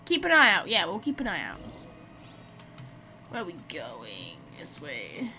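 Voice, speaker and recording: A woman's voice: lowish voice, huge pitch swings, a little slow, background noise. A woman streaming a game with casual, chatty commentary, reacting and talking to herself as she plays. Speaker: gaming streamer.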